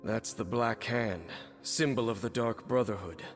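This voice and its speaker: A man's voice: on the low side, a little slow, in a large hall, faint music behind, lightly acted. A man voicing a stern, businesslike military captain in a video game, formal and commanding. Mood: stern, brisk.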